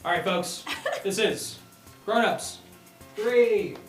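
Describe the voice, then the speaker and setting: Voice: mid-range voice, slow. Roomy, distant script reader over guitar music. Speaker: stage directions reader and host of a live table read. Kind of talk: reading script directions with casual asides.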